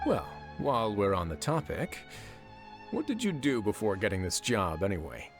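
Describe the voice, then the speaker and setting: Low, lively, teasing warden. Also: male voice, normal speed, faint music behind. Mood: teasing, amused. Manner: dry, teasing, casual. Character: wry, relaxed prison warden, confident and teasing. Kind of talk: video game dialogue acting.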